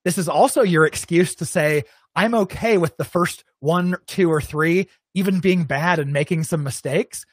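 A man's voice: fairly high pitch, normal speed, no echo. Man from Arizona giving casual advice. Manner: relaxed, conversational, acts out imagined viewers' thoughts. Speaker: guest.

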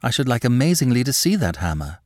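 A man voicing a calm, sympathetic lady who questions politely and gently in a story. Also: mid-range voice, quick pace, dry recording, clean studio sound, voice pushed up, natural voice. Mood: probing.

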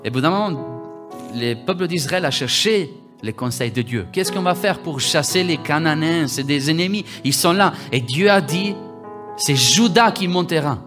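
A man from Italy, preaching a livestreamed sermon that repeats its phrases and builds from quiet talk to loud exhortation. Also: mid-range voice. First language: Italian.

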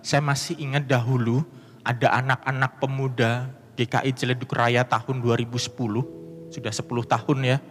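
A man's voice: mid-range voice, flat delivery, moderate pace, faint music behind. A man with a Banyumas Javanese accent, preaching and reading scripture aloud with emphatic, rising delivery in a church. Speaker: pastor. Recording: long church hall reverb.

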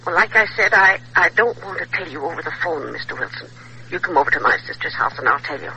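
An actress playing a secretive, insistent housekeeper, heard as a telephone voice in an old radio thriller. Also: female voice, lowish voice, expressive, fast, muffled recording, crowd noise.

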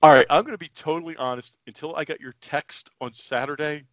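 A man co-hosting a casual podcast, hoarse and raspy as his voice comes back, talking in hesitant stops and restarts. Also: on the high side, fairly even intonation, brisk, fairly bright tone, dry recording. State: hoarse, recovering from losing his voice. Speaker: podcast co-host. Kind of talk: casual chat.

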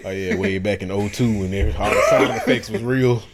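A man's voice: fairly low pitch, fairly quick, heavy background noise. A young man co-hosting a podcast, bantering casually and laughing often. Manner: laughing, joking, lively banter. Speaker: podcast co-host. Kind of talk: casual chat.

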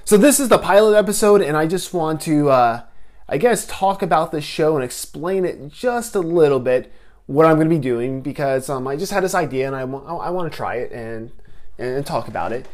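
A man's voice: a little high, expressive, fairly quick, darkish tone. Young guy doing a chill solo podcast from home, kinda stuffy from a cold, rambles and restarts a lot. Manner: rambling, with frequent restarts and filler words. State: getting over a head cold. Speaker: podcast host. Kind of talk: casual solo podcast talk.

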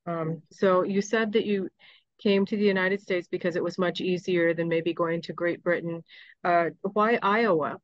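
A woman hosting a podcast, gently asking questions and recapping her guest's answers. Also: fairly low pitch, fairly even intonation, moderate pace, no echo, lo-fi sound. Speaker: podcast host. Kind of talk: interview questions.

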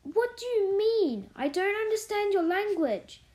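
Woman as an imperious little girl, very high voice. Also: very animated, a little slow, darkish tone. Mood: indignant. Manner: demanding, disdainful. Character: imperious, spoiled little girl, haughty and indignant.

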